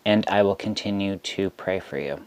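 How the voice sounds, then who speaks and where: A man who reads tarot, speaking straight to camera in a frustrated rant, deliberate and halting with frequent ums. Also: deep voice, smooth. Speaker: tarot reader.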